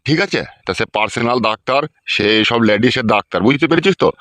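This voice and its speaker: A quick, close and dry cartoon voice, acted by a man: a greedy, bossy village uncle from a comedy. He scolds and explains, pressing the listener to keep up. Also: on the low side, a bit bright, dry recording, natural voice. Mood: bossy, explaining. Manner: scolding, bossy, peppered with check-in questions. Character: scheming, foul-mouthed middle-aged village uncle, bossy and greedy. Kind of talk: Bengali comedy cartoon dubbing.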